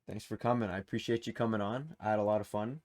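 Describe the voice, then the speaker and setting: A man's voice: fairly low pitch, fairly even intonation, quick pace, darkish tone. A man hosting a gaming quiz in a casual, chatty, encouraging way. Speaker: quiz show host.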